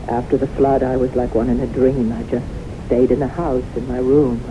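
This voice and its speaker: Haunted woman survivor, dramatic deliberate storytelling, 1970s radio drama, muffled hissy recording. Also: rumbling low, level tone. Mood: haunted, sorrowful. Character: haunted woman recounting the disasters she survived.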